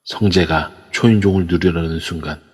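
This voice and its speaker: A man narrating an amateur audio drama in steady storytelling form. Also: low voice, moderate pace, husky. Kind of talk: scripted narration. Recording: reverb-heavy online audio.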